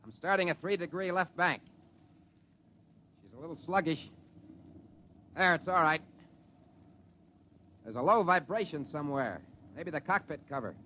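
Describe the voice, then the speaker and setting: Cool wisecracking rocket pilot, matter-of-fact cockpit talk, fairly high voice. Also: male voice, normal speed, long pauses, bright, forceful tone, a little hiss or hum. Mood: focused. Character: wisecracking young male test pilot, steady under pressure. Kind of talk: 1950s radio drama acting.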